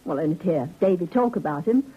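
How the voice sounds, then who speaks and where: An actress playing a hard-bitten, guarded nightclub singer, sardonic and world-weary, in a vintage radio drama. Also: female voice, lowish voice, expressive, fast talker, slightly rough. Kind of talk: 1950s radio drama acting. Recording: thin, narrow old radio recording.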